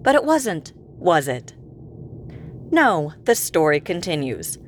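A woman's voice: lowish voice, big pitch swings, slow, slight background noise. An actress playing an exasperated, sarcastic woman boss in a comedy audio drama, piecing together a fiasco. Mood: weary recounting.

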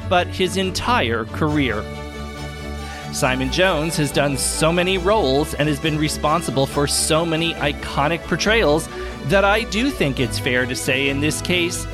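Guy hosting a podcast, kinda high voice, formal and gushing, music around it. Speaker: podcast host. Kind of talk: warm-up narration and interview. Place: club library for the talk.

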